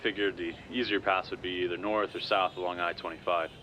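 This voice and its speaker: Deep, forceful American soldier. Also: male voice, fairly even intonation, brisk, a little hiss or hum. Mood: determined. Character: earnest American soldier, survivor, confident in the army. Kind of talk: audio drama acting.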